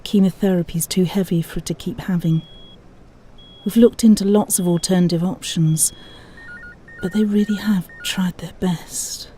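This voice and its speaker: A woman playing a sombre, caring grandmother in an audio drama, grave and measured, with faint noise behind her. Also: fairly low pitch, fairly even intonation, warm tone, lightly acted.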